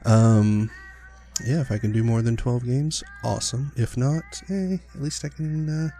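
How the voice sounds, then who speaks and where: A man hosting a casual gameplay video, talking in a rambling, relaxed way with lots of fillers while getting over an illness. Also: mid-range voice, unhurried. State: recovering from illness, sniffly, clearing his throat. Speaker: gaming channel host. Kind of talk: casual let's-play commentary.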